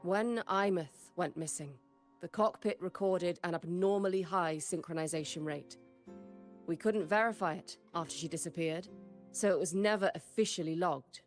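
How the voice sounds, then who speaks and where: A world-weary instructor from a story game, played by a woman, stern and exasperated. Her voice is a bit low, with dry, measured delivery. Soft music plays underneath. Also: close to an everyday voice. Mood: measured, serious. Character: stern, world-weary female former teacher of pilots. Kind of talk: video game story voice acting.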